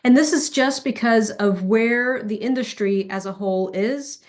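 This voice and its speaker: A woman's voice: on the high side, measured pace. A woman from Portugal with a European Portuguese accent, giving a fluent conference presentation over a video call as a foundation executive. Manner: warm, fluent, switches between Portuguese and English. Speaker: keynote speaker, foundation executive.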